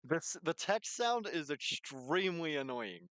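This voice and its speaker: A man's voice: a little high, very animated, a little slow, fairly bright tone, dry recording, poor audio. A man hosting a game stream with casual, playful chat, often breaking off and restarting his sentences. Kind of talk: casual gaming livestream chat, reading game text aloud.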